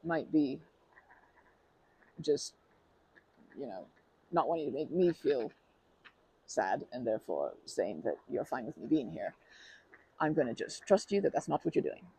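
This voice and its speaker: A woman's voice: very deep voice, big pitch swings, measured pace, pauses often, mellow, breathy edge, low-quality recording. A woman doing an ASMR roleplay as a friendly stranger who talks to the listener, rambling and hesitant, often restarting and correcting herself in an earnest, chatty way. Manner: friendly and earnest, with light laughs.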